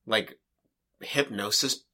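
A man with a nasal voice co-hosting a podcast in casual comedic chat, now and then dropping into soft mock-seductive voices. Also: fairly low pitch, slow pace. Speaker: podcast co-host. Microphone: desk USB condenser mic.

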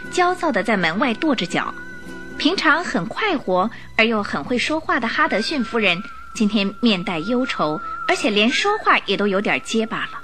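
A woman narrator telling a scripted story for a radio drama in a dramatic storytelling style. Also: fairly high pitch.

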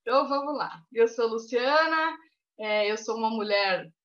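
A woman's voice: fairly high pitch, animated, moderate pace, a bit bright, highs cut off. Chatty woman in her forties. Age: 40s. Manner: friendly, informal, chatty. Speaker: guest. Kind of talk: casual chat.

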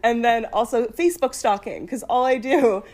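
Young woman, deep-voiced, chatty and laughing, casual conversation. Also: normal speed, a little mellow. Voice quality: fairly deep voice. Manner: laughing, chatty, swears freely. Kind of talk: casual chat.

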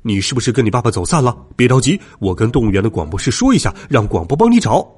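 A man voicing a gruff, blustering middle-aged zookeeper in a children's storybook narration, impatient but kindly. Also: medium pitch, wildly swooping pitch, a little fast, pitched below the performer's own voice. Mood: alarmed. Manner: loud, blustering, hesitant grunts.

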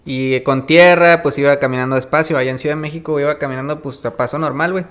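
A man's voice: on the high side, fairly even intonation, fast, fairly bright tone. A man in his thirties with a Baja California accent, telling stories in a slangy, easygoing interview and tagging nearly every phrase with "güey". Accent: northern Mexican, Baja California. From Ensenada, Baja California, Mexico. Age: 30s. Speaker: musician guest. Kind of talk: casual interview storytelling.

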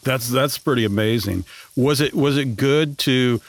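A man's voice: mid-range voice, unhurried. A man hosting a radio interview, asking friendly questions with frequent restarts. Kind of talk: casual interview.

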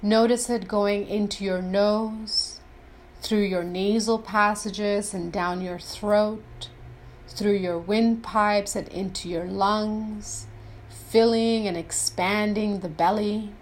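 Female meditation guide, very unhurried, reverent and instructional, scripted delivery, light ambient noise in the recording. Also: medium pitch, steady tone.

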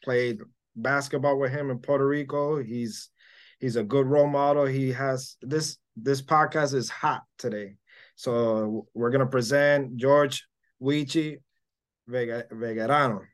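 Guy hosting a podcast, mid pitch, halting, flips between english and spanish. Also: fairly even intonation. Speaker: podcast host. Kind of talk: casual interview talk.

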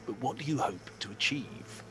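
Pompous, animated man, buzzing noise behind, an actor's role. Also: medium pitch, normal speed, close to an everyday voice. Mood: sceptical, needling. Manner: pompous, patronising, pedantic. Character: pompous, condescending, suspicious male beekeeping club stickler. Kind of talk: audio drama acting.

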